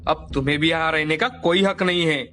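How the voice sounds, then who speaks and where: A man's voice: a little high, brisk, sharp tone, slight background noise. A man voicing a stern older father-in-law in a storybook tale, accusing and commanding.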